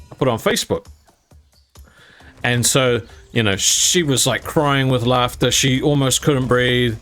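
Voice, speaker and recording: A man's voice: mid-range voice, a bit bright. A young adult man telling a personal story to camera, rambling with fillers and laughing along as he goes.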